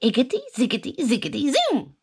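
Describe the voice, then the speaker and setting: A woman voicing an excitable storybook witch, exclaiming dramatically. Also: sits high, brisk, breathy edge, pitched above the performer's own voice, exaggerated voice. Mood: excited. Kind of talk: storybook character voice.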